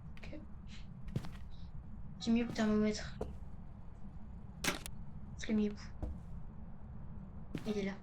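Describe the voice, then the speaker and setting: A woman's voice: medium pitch, little pitch movement, takes it slowly, pauses often. A woman giving casual video game commentary, thinking aloud to herself and often repeating her phrases. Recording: clear background noise, game ambience.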